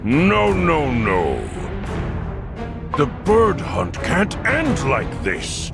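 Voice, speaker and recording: A gravelly game villain, a bloodthirsty warlord who gloats theatrically. He draws his threats out slowly and swoops between low menace and grand roars. Loud music plays behind him. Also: wildly swooping pitch, exaggerated voice. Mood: bored, menacing. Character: arrogant bloodthirsty destroyer villain, warlord hungry for thrill. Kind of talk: video game voice acting.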